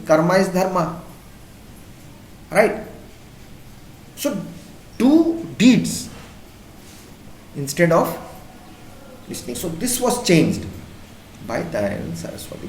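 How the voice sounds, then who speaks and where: A male teacher with an Indian English accent, a native Hindi speaker, giving a classroom lecture. He repeats his points and keeps checking in with the class using quick questions. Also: fairly high pitch, very animated, darkish tone, a little hiss or hum. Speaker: school teacher. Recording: echoey room.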